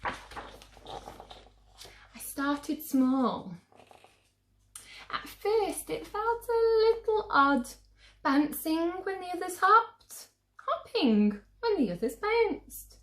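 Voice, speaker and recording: A woman reading a picture book aloud to children with theatrical, drawn-out emphasis. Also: very high voice, sing-song, reverberant. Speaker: children's story reader.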